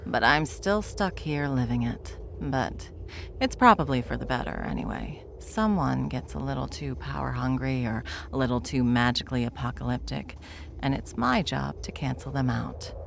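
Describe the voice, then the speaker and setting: A woman's voice: extremely low pitch, normal speed, a little hiss or hum. A woman playing an ancient, deathless spellcaster, wry and self-assured, lecturing theatrically in a fantasy roleplay audio drama. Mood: weary, candid. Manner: theatrical, wry, leisurely lecturing with breathy asides.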